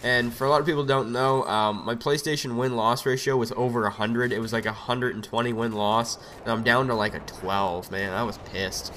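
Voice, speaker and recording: Young guy with a cold, kinda raspy, rambling gaming commentary into a desk mic, faint hiss behind. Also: mid-range voice, fairly even intonation, fairly quick, hardly any pauses, a bit bright. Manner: rambling, chatty, lots of fillers. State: has a cold. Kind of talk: casual gameplay commentary. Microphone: desk USB microphone.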